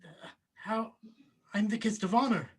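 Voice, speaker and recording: A man's voice: high voice, measured pace, breathy edge. A man acting a browbeaten grown son, whining and hesitant, in a table read of a film script. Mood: whiny, meek. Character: whiny, browbeaten grown son, reluctant schemer.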